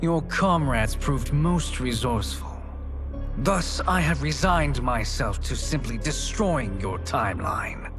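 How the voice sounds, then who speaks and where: An arrogant, scheming sorcerer from a video game cutscene. His delivery is slow, formal and smug, with menace in every phrase. Prominent music plays behind him. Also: medium pitch, expressive. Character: scheming arrogant titan sorcerer villain, male. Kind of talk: video game cinematic acting.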